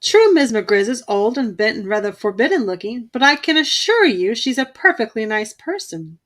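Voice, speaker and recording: A woman's voice: on the high side, very animated, normal speed, a bit bright. A woman voicing a sensible, kindly mother bear who explains and reassures, in a children's storybook. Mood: firm, reassuring.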